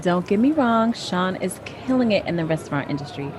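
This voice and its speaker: A woman's voice: on the low side, animated, fairly quick, crowd noise, lightly acted. A woman acting in an audio drama as a brash, restless, unapologetic wife with a sassy, casual manner. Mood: restless, defiant. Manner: sassy, casual girlfriend banter. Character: brash, restless married woman, unapologetic about her affair.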